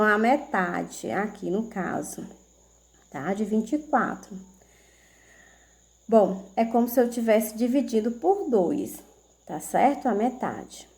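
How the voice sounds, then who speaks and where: A woman's voice: a little high, a little mellow. A woman teacher speaking, explaining a math lesson to children in a patient way and often checking that they follow. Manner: slow, patient, checks in with "tá certo?".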